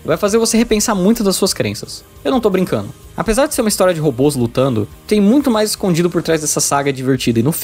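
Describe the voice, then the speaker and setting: A man's voice: high-pitched, big pitch swings, fast talker, few pauses, a little mellow, husky. A man with a Brazilian accent reading a scripted video game review in an emphatic, opinionated way. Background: background music.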